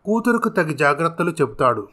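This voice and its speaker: A man's voice: on the high side, big pitch swings, a little fast, bright, forceful tone, a little hiss or hum. A man narrating a scripted moral story in a storytelling style.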